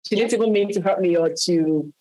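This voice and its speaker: A woman's voice: medium pitch, a little fast, mellow, rough recording. A woman with a Nigerian accent telling personal stories in a workshop discussion, self-assured and acting out dialogue as she goes. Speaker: workshop speaker.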